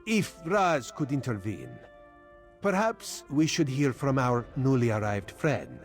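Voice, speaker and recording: A man's voice: medium pitch, sing-song, takes it slowly, faint music behind. A man playing a sly, suave cat-folk agent in a video game, with a coaxing drawl. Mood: smooth, coaxing. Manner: drawling, refers to himself in third person. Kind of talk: scripted video game dialogue.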